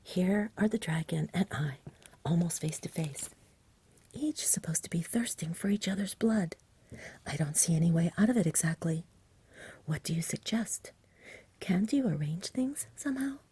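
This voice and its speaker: A grave, good-natured saintly knight, whispered by a woman, speaking in formal storybook phrases. The voice is soft, very husky and mellow. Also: fairly low pitch, animated, normal speed, no room sound. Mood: conceding. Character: courteous, melancholy saintly knight with grandiose speech. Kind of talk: whispered ASMR storybook reading.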